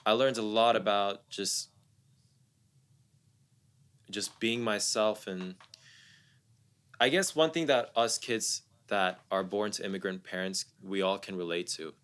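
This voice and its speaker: A man's voice: on the low side, unhurried, pauses often, fairly bright tone, clean tone. A young man from the United States, a guest musician talking casually and reflectively in an interview, often repeating words as he thinks.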